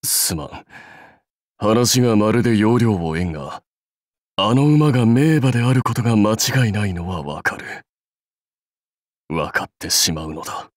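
Samurai warlord, game role: commanding, dignified, archaic diction, unhurried delivery, slightly low register. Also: male voice, animated, dry recording, clean studio sound. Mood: measured, bemused. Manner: stately, archaic lordly speech. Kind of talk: Japanese mobile game character voice lines.

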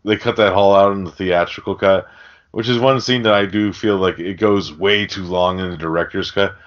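A man's voice: low voice, fairly even intonation, normal speed. A man hosting a podcast, chatting casually about a film in a rambling way with plenty of swearing. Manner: rambling, profane. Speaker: podcast host. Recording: dull, lo-fi audio.

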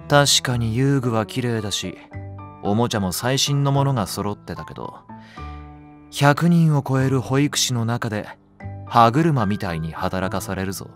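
An actor playing a gruff, cynical young male nursery teacher, curt and sardonic, in an anime drama. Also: medium pitch, animated, a little slow, soft background music, natural voice.

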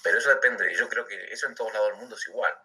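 A middle-aged man with an Argentine accent talks casually, restarting his sentences and doubling words. His voice is low and very bright, with a husky edge. He sounds set back from the microphone. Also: rapid-fire, far from the mic. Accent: Argentine Spanish. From Argentina, Comodoro Rivadavia. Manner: repeats words and restarts often, laughs easily. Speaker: interview guest, emigrant. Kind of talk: casual chat.

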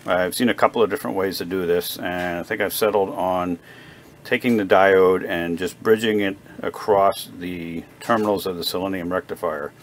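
A man with a very low voice talks casually through hobby repair work. He is plain-spoken and a little halting, thinking aloud as he goes. Faint noise sits behind him in an indoor workshop recording. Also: steady tone. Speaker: hobbyist radio repair vlogger. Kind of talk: casual unscripted workbench narration. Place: indoor home workbench. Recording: clear background noise, workshop ambience.

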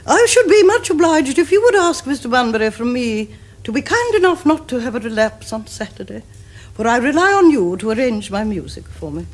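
A woman's voice: on the high side, big pitch swings, brisk. A middle-aged woman with an upper-class English accent playing a haughty, imperious Victorian aunt in a period comedy, delivering stately pronouncements. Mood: imperious disapproval. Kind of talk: period stage comedy acting. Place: furnished drawing room set. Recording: noisy old television soundtrack.

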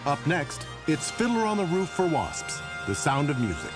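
An actor playing a polished classic-movie channel announcer in a scripted TV parody. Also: male voice, fairly high pitch, very animated, moderate pace. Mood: smooth. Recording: music under the announcement.

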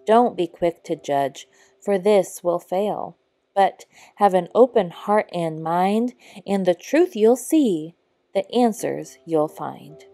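A woman reading a children's story aloud in a measured, expressive storybook style. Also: lowish voice, no echo, faint music behind. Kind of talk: scripted read-aloud narration for children.

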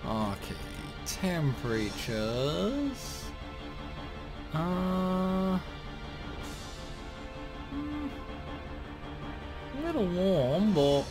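A man's voice: high voice, very animated, very slow, pauses often, music in the background. A man casually commentating a video game playthrough, rambling and talking to himself as he plays. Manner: rambling, talks to himself while playing. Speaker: gaming channel host.

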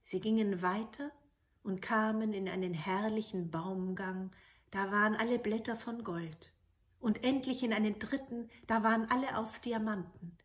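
A woman's voice: mid-range voice, moderate pace. A middle-aged woman narrating a fairy tale expressively, as a storyteller. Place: indoors, living room with books.

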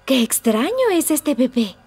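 A woman voicing a kindly, lively mother in a Latin American Spanish cartoon dub, speaking with animated affection. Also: high-pitched, measured pace, noisy background, heavily acted. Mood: curious, amused.

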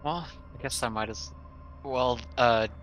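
A man's voice: medium pitch, measured pace, a bit bright, faint music behind, rough recording. A man talking casually as a player in a tabletop roleplaying game.

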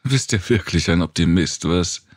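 A man's voice: low voice, lively intonation, normal speed, dry recording, clean studio sound, pitched above the performer's own voice. A man voicing a weary, blunt, sardonic wounded woman survivor in an audiobook. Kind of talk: audiobook character dialogue.

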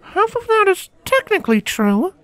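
A man voicing a calm, sardonic woman who teases in a dry way, in a story. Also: very high-pitched, normal speed, dry recording, some chatter behind, voice pushed up, heavily acted. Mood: dry, teasing. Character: dry, unflappable woman, teasing ex-wife.

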